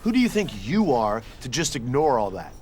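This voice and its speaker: A man's voice: medium pitch, sing-song, normal speed, a little mellow, slight background noise, lightly acted. A man playing a jealous young rival wrestling prospect in a video game cutscene, indignant and confrontational.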